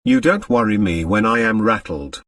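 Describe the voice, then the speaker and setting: A man's voice: on the low side, animated, moderate pace, a bit bright, no echo, studio quality, recorded from a distance. A man voicing a hard, proud American millionaire who dotes on his daughter, in an audiobook. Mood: gruffly affectionate.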